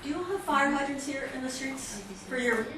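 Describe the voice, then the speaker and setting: A woman's voice: high-pitched, brisk. Middle-aged American woman professor, chatty lecture style, mic distant in a very echoey, noisy hall. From United States. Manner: chatty, self-correcting, teasing asides. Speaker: professor giving a guest lecture. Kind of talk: casual lecture to students.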